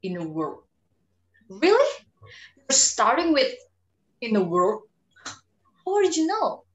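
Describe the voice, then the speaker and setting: A vain young film star voiced by a woman with a highish, very animated voice. She is self-important and sarcastic, and the room rings around her on the video call. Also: takes it slowly, muffled recording, full character voice. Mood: indignant, mocking. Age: young adult. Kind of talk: amateur dramatic reading. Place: small room at home, indoors. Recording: roomy video-call sound.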